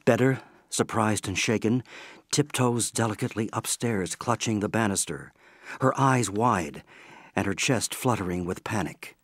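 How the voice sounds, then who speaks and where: A man narrating an audio comedy from a script, with deliberate, theatrical storytelling phrasing. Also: lowish voice, fairly bright tone, husky, dry recording, studio quality.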